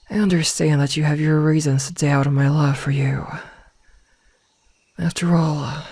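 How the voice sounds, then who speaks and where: Woman acting as yandere werewolf woman, ASMR roleplay, soft and husky, slow cold delivery, possessive, half-whispered, close dry sound. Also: sits low, no echo, exaggerated voice. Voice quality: soft, breathy, often slipping into whisper. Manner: cold, callous, deliberate word delivery. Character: possessive yandere female werewolf omega, menacingly loving.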